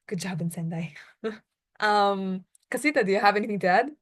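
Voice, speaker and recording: Young woman from the US, talkative student moderator, mid-pitched, quick asides. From United States. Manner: chatty, quick asides, laughs. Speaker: discussion moderator. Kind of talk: casual group discussion.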